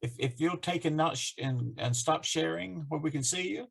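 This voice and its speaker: A man's voice: a little high, moderate pace, vocal fry, slightly rough. A man moderating a video call, polite and a little hesitant.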